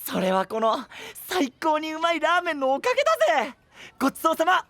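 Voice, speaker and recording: A man's voice: very high-pitched, very animated, a little fast, sharp tone, no room sound, full character voice. A man playing a boyish, artless, hearty young knight with a casual, guileless manner in an anime-style audio drama. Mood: eager.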